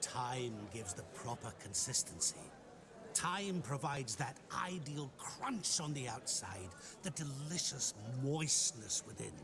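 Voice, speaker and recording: An actor playing a sly, all-knowing mysterious male stranger in a game cutscene, talking in a smooth, theatrical, mocking way. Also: mid-range voice, expressive, slow, crowd noise. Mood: teasing lecture. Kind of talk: video game cutscene acting.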